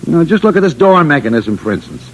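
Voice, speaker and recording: An American actor playing a cocky, excitable Earth engineer in a 1950s radio drama. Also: male voice, on the high side, fast, slightly rough, rough recording. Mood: restless, determined.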